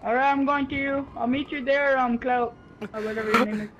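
High-voiced young man rambling excitedly. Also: fairly quick, very noisy. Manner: rambling, restarts, lots of "like". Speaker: gamer playing with a friend. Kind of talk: casual gaming banter.

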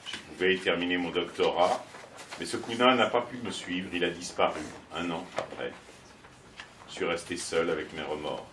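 An older man reading a text aloud at a bookshop event, steady and measured. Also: sits low, background noise. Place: bookshop, indoors. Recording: echoey shop from far off, chatter.

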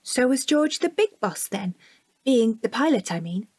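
A woman's voice: on the high side, very animated, measured pace, a little mellow, breathy edge, heavily acted. A woman playing an eager, awed nine-year-old girl full of questions in an audio drama. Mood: curious. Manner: wide-eyed, questioning, childlike.

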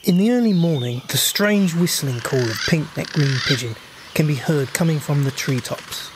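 A man narrating a scripted voice-over in a calm, informative manner. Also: a little high, normal speed, background noise. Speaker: birding vlogger.

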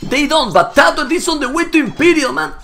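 A hoarse, Spanish-native man casting a live strategy game match, getting louder and repeating himself at big plays. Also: very high-pitched, expressive, fairly quick. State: hoarse, losing his voice. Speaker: esports caster. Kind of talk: excited live game commentary.

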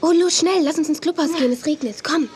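A woman's voice: sits high, fast, heavy background noise, close to an everyday voice. A woman playing a sensible, mildly exasperated young big sister in a children's audio drama, chiding yet patient. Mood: reconciled.

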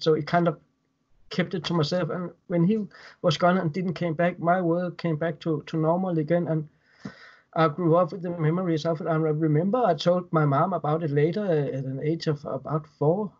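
Man, Danish accent, thirties, slightly high voice, halting and repetitive, video call from home, dull lo-fi audio. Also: a little mellow. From Denmark. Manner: hesitant, repeats words while recounting. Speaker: guest. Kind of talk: casual interview over video call. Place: home room indoors. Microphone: webcam on video call.

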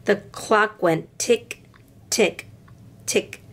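A woman reading a picture book aloud to children in a deliberate, expressive way. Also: mid-range voice. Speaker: storybook reader for children.

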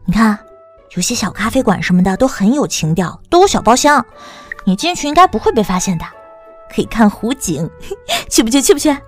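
A woman playing a bubbly, high-pitched nosy best friend. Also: fairly quick, faint music behind. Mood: wheedling. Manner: excitable, teasing, wheedling, exclamatory. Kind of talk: audiobook drama acting.

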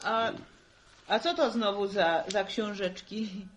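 A woman's voice: medium pitch, fairly even intonation, slow, a little hiss or hum, close to an everyday voice. A woman acting a middle-aged farm mother in a radio drama, practical and chatty, given to teasing, fretting and folksy exclamations. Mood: inquisitive teasing.

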